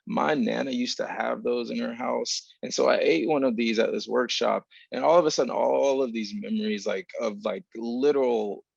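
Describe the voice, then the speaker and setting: A man with a lowish voice and noticeable fry speaks thoughtfully and haltingly, like a writer reflecting on a panel. His delivery is fairly even, and the sound is dry and somewhat dull, as on lo-fi call audio. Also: no echo. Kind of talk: reflective, informal panel answer.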